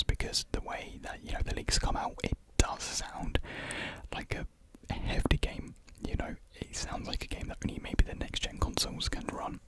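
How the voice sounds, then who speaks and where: A young man whispers throughout in a breathy, unvoiced hush. He rambles in an informal, unhurried way, like an ASMR creator chatting to viewers. The microphone is close, on a desk in a small room. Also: on the high side, rough recording. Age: young adult. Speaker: ASMR video host. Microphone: desktop USB microphone up close.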